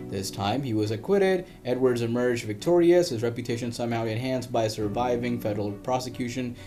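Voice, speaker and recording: A man reading a scripted history documentary as the narrator, with a dramatic storytelling delivery and emphatic pauses. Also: medium pitch, dark tone.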